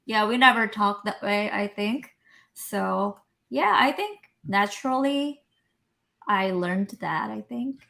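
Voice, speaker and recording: Bright-voiced woman in her thirties. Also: medium pitch, slow pace, creaky. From South Korea. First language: Korean. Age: 30s. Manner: giggly, hesitant, searches for words. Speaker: guest. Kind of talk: casual interview chat.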